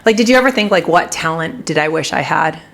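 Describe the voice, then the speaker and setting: A middle-aged woman from Kansas City co-hosting a podcast, chatting casually with quick, laughing asides. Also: sits low, lively intonation, creaky, fairly bright tone. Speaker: podcast co-host. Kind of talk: casual chat.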